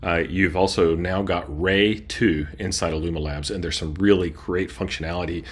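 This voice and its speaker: A man with a low, deep voice talks through an on-screen tutorial. He hosts informally, explaining each step in a casual, conversational way. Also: fairly even intonation, moderate pace, husky. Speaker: host. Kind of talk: casual software tutorial narration.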